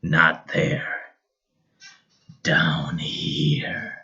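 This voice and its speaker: Man voicing the creaky, cold, slow-spoken Dark. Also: extremely low pitch, highs cut off, heavily acted. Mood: calm, insistent. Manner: slow, terse, beckoning. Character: the Dark, an eerie calm patient creature of darkness.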